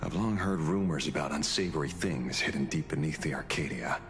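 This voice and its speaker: A man voicing a sly retired ranger turned detective in a video game, wry and theatrical with unhurried confidence. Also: rumbling low, faint music behind. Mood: wry, casual. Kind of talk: dramatic video game voice acting.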